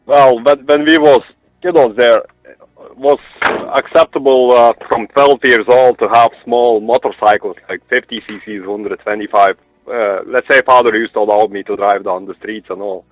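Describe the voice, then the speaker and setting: A man with a Lithuanian accent, calling a radio phone-in over a mobile and chatting casually in halting, non-native English. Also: medium pitch, fairly even intonation, dry recording. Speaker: phone-in caller. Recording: dull, muffled mobile phone line.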